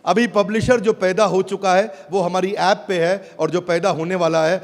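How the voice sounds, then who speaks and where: A man giving an energetic motivational speech to a live audience, shouting at times and calling on the crowd. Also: sits high, normal speed. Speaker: business speaker at a product launch. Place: large hall with an audience. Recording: echoey hall, crowd and noise behind.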